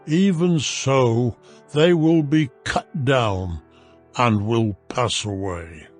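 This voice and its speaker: A man narrating a dramatized audio Bible in a solemn, deliberate, declamatory manner. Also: medium pitch, darkish tone. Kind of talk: dramatized scripture reading. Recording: music behind the voice. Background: music.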